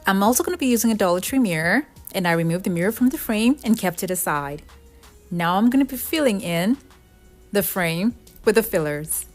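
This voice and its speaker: A woman's voice: medium pitch, expressive, fairly quick. A woman giving an explanatory, step-by-step voice-over for a craft tutorial. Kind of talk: scripted DIY tutorial voice-over narration. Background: background music.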